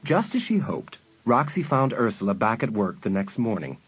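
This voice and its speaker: A man's voice: on the low side, big pitch swings, normal speed, soft background music. A man narrating a dramatised audiobook as a scripted storyteller.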